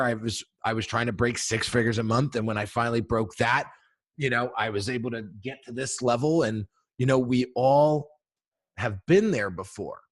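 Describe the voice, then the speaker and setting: A man with a deep voice, a brand coach giving an energetic teaching talk straight to viewers, often checking in with "right?" and "okay?". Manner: energetic, emphatic, addresses viewers directly with frequent "right?" and "okay?". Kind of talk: instructional video talk.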